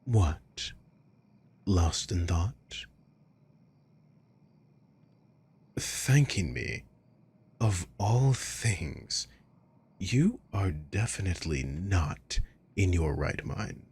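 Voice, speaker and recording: A man playing a sadistic, controlling villain in an ASMR roleplay, breathy and husky, speaking with slow, condescending, taunting deliberation. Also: low-pitched, sing-song, a little mellow, exaggerated voice. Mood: cold, taunting. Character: sadistic, controlling male villain toying with a captive.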